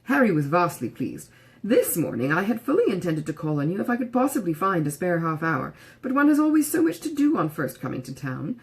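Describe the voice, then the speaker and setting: Woman playing a gushing, pompous, swooping gentleman. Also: on the low side, sing-song, a little fast. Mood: breezy, self-important. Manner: gushing, fussily over-polite. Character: pompous, effusive, self-satisfied gentleman brother. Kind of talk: audiobook character dialogue.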